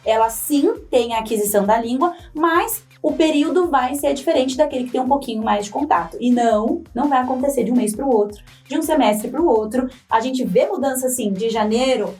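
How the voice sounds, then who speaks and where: A woman teacher explains things in a calm, orderly way, listing examples as she goes. Her voice sits a little high and is heard from a slight distance. Soft music plays faintly underneath. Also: brisk, few pauses. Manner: steady, explanatory, lists points one by one. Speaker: teacher at a bilingual school. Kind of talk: podcast panel discussion.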